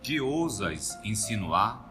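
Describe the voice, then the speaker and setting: The low-voiced Brazilian man asked to open a spiritual meeting with a solemn prayer while a guitar plays. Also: very animated, slow pace, bright, forceful tone. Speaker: radio announcer, study participant. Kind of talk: prayer and dramatic reading. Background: plucked guitar music.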